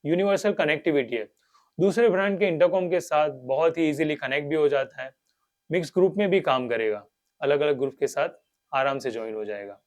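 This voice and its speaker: Higher-pitched Indian man reviewing gear. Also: brisk. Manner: mixes English phrases into his talk. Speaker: host of a motorcycle gear review channel. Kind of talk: scripted product review to camera.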